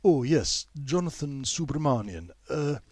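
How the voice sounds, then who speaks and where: A man's voice: mid-range voice, very animated, measured pace, darkish tone, no echo, pitched above the performer's own voice. A man voicing a vague, blank, listless young male scientist in a story, politely detached. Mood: blankly polite.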